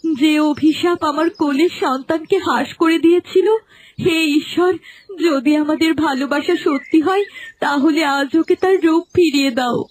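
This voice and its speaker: A woman's voice: sits high, normal speed, a little mellow, lightly acted, low-quality recording. A woman playing a devoted, sorrowful village mother in a fairy-tale cartoon, speaking earnestly and imploringly. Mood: sorrowful, prayerful.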